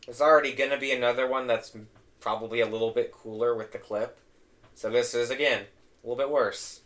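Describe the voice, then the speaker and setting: A young man hosting a casual tier-list ranking, his voice going hoarse, opinionated and trailing off into low mumbles. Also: steady tone, fairly quick, a bit bright. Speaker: host.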